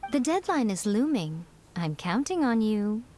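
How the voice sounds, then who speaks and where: A woman's voice: medium pitch, sing-song, measured pace, darkish tone. Sly fox woman, smug, teasing, lilting wide-ranging delivery, video game, light music behind. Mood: amused. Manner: teasing, leisurely, haughty. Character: sly, teasing, self-important fox shrine maiden woman. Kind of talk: video game character dialogue.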